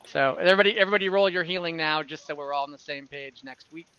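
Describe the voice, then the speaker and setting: A man's voice: on the high side, fast, pushed and bright, smooth, highs cut off. A man running a casual online tabletop game as game master, informal and easygoing.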